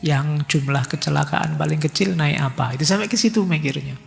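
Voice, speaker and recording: A man gives a relaxed religious talk, acting out anxious inner voices as he goes. His voice is slightly high and very gravelly. It rings with a long hall echo, with faint music underneath. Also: brisk. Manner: chatty, mixes in Javanese words and acts out worried thoughts. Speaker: lecturer on philosophy and religion. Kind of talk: casual religious lecture.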